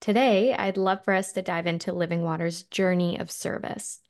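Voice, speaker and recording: A woman's voice: on the low side, fairly even intonation, normal speed, darkish tone. A woman hosting a podcast, reading a polished, upbeat script. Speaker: podcast host.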